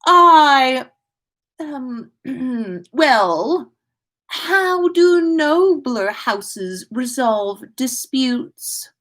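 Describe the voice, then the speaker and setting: A woman's voice: high-pitched, animated, extremely slow, a bit bright, pitched above the performer's own voice, heavily acted. Woman as a drawling, sneering schoolboy bully. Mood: flustered. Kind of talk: storybook character reading.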